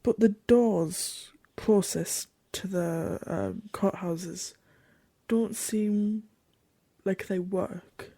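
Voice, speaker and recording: Casting: woman as wry, curious traveller keeping an audio diary; husky, mellow, unhurried, informal and hesitant. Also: medium pitch, dry recording. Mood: puzzled, wry. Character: chatty, curious female traveller journaling a strange new world. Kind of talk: audio drama diary monologue.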